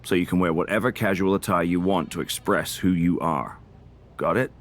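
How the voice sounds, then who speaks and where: A man's voice: low voice, normal speed, slight background noise, lightly acted. A man voicing a male wrestler in a backstage chat who is friendly but needling. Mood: sly. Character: sly male wrestler scheming over a draft pick. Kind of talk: backstage conversation.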